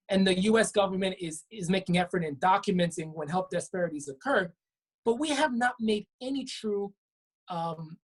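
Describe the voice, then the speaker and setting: A woman's voice: medium pitch, husky, rough recording. A woman physician from Brooklyn, New York, answering interview questions in an earnest, explanatory way with frequent restarts. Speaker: physician guest. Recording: web talk show.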